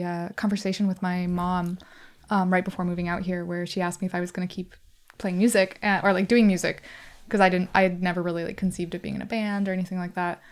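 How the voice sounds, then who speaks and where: A young American woman, a band member, chatting in an interview, talkative, laughing often and using lots of fillers. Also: lowish voice. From United States. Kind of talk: casual interview chat.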